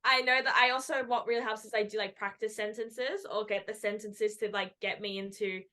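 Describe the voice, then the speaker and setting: A woman's voice: medium pitch, fairly even intonation, a little fast, pushed and bright. A young woman co-hosting a podcast, chatting eagerly and rambling with many fillers over a video call. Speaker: podcast co-host and actor. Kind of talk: casual chat.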